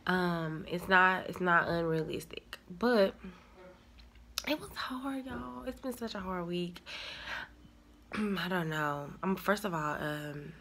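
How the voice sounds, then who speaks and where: A chatty woman in her thirties talks into a phone held at arm's length, her voice a bit congested from a cold. Her tone is bright and forceful, set a little low. Faint room noise sits behind her in a home dining room. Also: slow. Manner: chatty, addresses viewers as y'all. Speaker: vlogger. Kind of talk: casual chat to camera. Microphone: handheld phone at arm's length.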